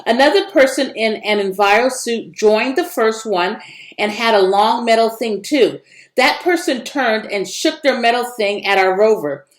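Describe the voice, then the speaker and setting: A woman's voice: on the high side, animated, measured pace. A woman narrating a children's story expressively, in a roomy, echoing recording. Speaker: read-aloud narrator.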